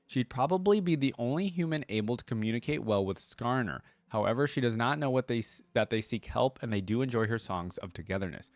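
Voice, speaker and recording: A man hosting a reaction video, reading aloud and adding casual, conversational commentary as he thinks out loud. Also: medium pitch, animated, fairly quick, few pauses, dry recording.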